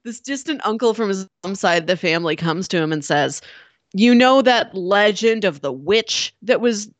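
A woman's voice: medium pitch, animated, moderate pace, dry recording. A woman co-hosting a casual film discussion, explaining things informally with plenty of "like" thrown in. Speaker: podcast co-host.

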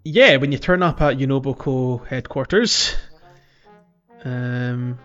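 A male podcast co-host talks in a casual, halting way about a video game he is playing through. His voice sits at an average pitch. The audio is slightly dull and lo-fi, with music underneath. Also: a bit bright. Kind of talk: casual chat recapping a video game playthrough.

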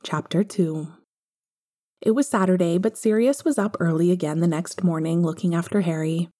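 A woman's voice: lowish voice, moderate pace, dark tone. A woman reading a story aloud in a wry, conversational narrating style. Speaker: podfic reader. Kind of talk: expressive scripted fiction narration.

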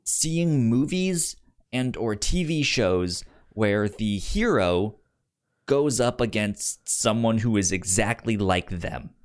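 A podcast co-host chatting casually about pop culture, often repeating syllables and stumbling over words, with animated emphasis. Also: mid-range voice. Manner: halting speech with repeated syllables and stammered words.

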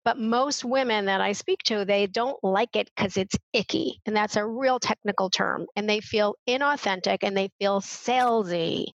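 A middle-aged woman host tells a story and puts direct questions to her guest. The recording is dry and close, with her voice at a middle pitch. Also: moderate pace, hardly any pauses, dry recording, lo-fi sound. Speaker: podcast host. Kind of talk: conversational interview.

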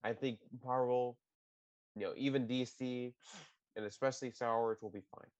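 A man's voice: medium pitch, fairly even intonation, moderate pace, no echo, muffled recording. A man co-hosting a casual podcast, opinionated and rambling, often restarting sentences. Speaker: podcast co-host.

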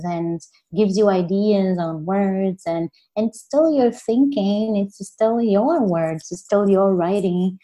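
A woman's voice: medium pitch, warm tone, poor audio. Woman podcast co-host, native Portuguese speaker, earnest and thinking aloud. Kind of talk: casual discussion.

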